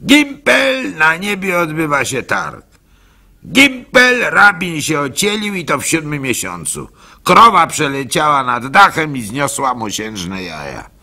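Radio play bit part: a man as goading village prankster, pitched high, forceful, rough-edged calls. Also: sing-song, measured pace, heavily acted. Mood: urgent, teasing. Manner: taunting, urgent calling out. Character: mocking village pranksters shouting tall tales at the fool. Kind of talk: dramatized radio monologue.

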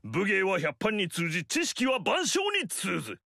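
A self-important middle-aged nobleman lectures with lofty airs in a game,. His voice sits high and bright with a gravelly rasp and swings widely in pitch. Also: huge pitch swings, a little slow, dry recording, studio quality, full character voice. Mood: haughty. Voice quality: haughty, lecturing. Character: pompous middle-aged male noble lord, proud of lineage. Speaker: game character. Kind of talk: game story dialogue.

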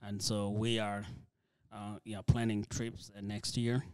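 Soft-spoken man, flat low voice. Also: smooth, dry recording. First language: Dinka. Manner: soft-spoken, halting, many fillers and restarts. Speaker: ministry leader, guest. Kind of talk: church interview conversation.

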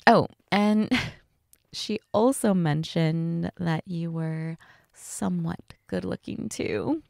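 Woman acting a flirty, slow-talking date, creaky voice. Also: on the low side, a little mellow, dry recording, clean studio sound. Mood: teasing, playful. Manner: giggly, hesitant, teasing, conversational. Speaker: audio roleplay voice actress.

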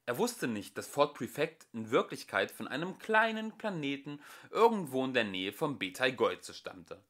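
A young man reading an audiobook aloud in an expressive way, recorded at home. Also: medium pitch. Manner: slow and expressive. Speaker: audiobook reader. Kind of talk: amateur audiobook narration.

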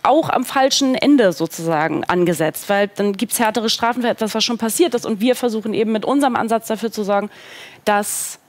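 A woman's voice: medium pitch, brisk, no room sound. A woman in her thirties speaking as a talk show guest, informal and casual, dropping in English phrases. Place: indoor talk studio with seated group. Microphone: headset.

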